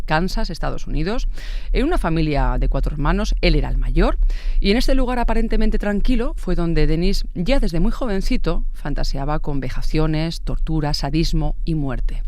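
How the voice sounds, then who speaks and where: A man's voice: a little high, no echo. A man from Spain hosting a true-crime podcast, narrating from a script with conversational rhetorical asides. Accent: Spain Spanish. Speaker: podcast host.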